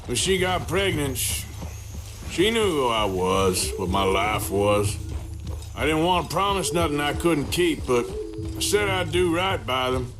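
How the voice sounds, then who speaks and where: Weary Old West outlaw, game cutscene: rural American drawl, very unhurried, plain-spoken and regretful, over clear underscore. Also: male voice, fairly high pitch, sing-song. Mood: sorrowful, regretful. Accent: American, rural Western drawl. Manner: slow, plain-spoken, subdued. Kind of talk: naturalistic dramatic video game acting. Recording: dramatic music behind the voice.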